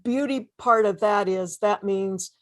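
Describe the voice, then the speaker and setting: A woman's voice: medium pitch, measured pace. A woman hosting an interview with effusive enthusiasm, often repeating phrases for emphasis. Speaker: host interviewing successful online sellers.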